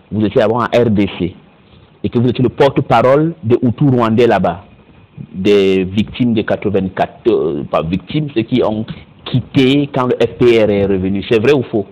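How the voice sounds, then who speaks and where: A man's voice: fairly low pitch, moderate pace, a little mellow. A man hosting a studio panel interview, questioning guests and repeating their answers back to them. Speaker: TV talk show host. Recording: muffled lo-fi broadcast audio.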